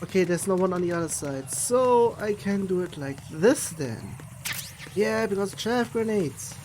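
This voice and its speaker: A game streamer giving casual, halting commentary, muttering along while playing. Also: medium pitch, expressive, darkish tone, a little hiss or hum, poor audio. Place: voice over game audio with music behind.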